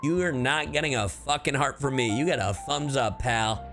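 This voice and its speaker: A man's voice: medium pitch, moderate pace. A man giving casual livestream commentary on a game, playful, irreverent and sweary, with music behind him. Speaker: game streamer.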